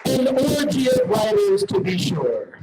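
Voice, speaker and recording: A man's voice: high voice, huge pitch swings, measured pace, a crowd in the background. A man presenting awards live on stage in a jokey, profane, emphatic comedic manner. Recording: noisy live event, clicks.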